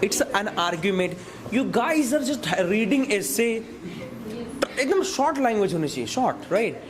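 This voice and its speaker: A teacher moderating a class debate who firmly corrects the students and slips into Hindi. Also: male voice, high-pitched, sing-song, fairly quick, a crowd in the background.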